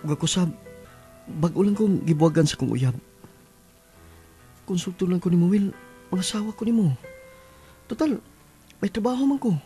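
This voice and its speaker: An earnest young man courting a friend in a radio play. His voice is dark and husky, pleading sincerely over faint music. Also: high voice, moderate pace, long pauses. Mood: earnest wooing. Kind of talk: radio drama acting.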